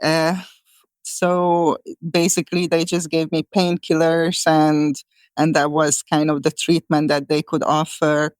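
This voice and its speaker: A woman with a Hungarian accent talks through her path as a healer, pausing on fillers and restarting her sentences. Her voice is smooth and clear, with a level, even melody that rarely rises or falls. The recording is dry and close. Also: low-pitched, dry recording. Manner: thoughtful, many fillers and restarts. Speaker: guest, shamanic healer. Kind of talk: conversational interview answers.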